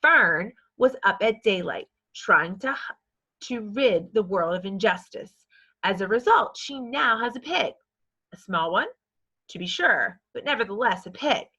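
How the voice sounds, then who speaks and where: A woman's voice: mid-range voice, lively intonation, measured pace, pushed and bright, slightly rough, highs cut off, exaggerated voice. A woman voicing a kindly but firm farmer father in a storybook, declaring things with dry teasing. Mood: teasing, lecturing.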